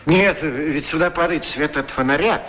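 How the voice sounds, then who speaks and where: An actor playing a humble, friendly street shoe shiner, plain-spoken and a little hesitant, in a radio play. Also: male voice, fairly high pitch, normal speed, a bit bright, lo-fi sound, lightly acted. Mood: curious, friendly. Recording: noisy radio play ambience. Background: clear ambient noise.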